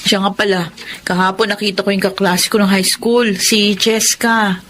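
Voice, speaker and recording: A woman's voice: medium pitch, steady tone, moderate pace, fairly bright tone, a little hiss or hum, close to an everyday voice. A woman playing a worried young wife and mother in a radio drama, pleading and anxious.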